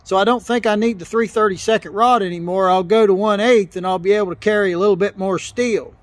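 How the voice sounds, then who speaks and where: A middle-aged man with a fairly high voice explains his welding work outdoors in a gravel yard. He talks in a plain, practical way, circling back and restarting phrases as he goes. The camera sits close to his face. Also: steady tone, quick pace, dry recording. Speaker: welder teaching on camera. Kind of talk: hands-on welding tutorial.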